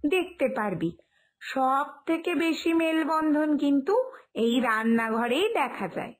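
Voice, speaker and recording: A woman playing a reassuring elder sister-in-law in a cartoon village tale, explaining things and gently chiding. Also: high-pitched, lively intonation, a little slow. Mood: teasing. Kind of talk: Bengali cartoon village family tale acting.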